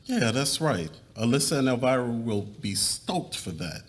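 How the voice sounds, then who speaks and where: Man playing an earnest, animated middle-aged husband. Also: mid-range voice, a little slow. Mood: hesitant, earnest. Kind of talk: live dramatic reading.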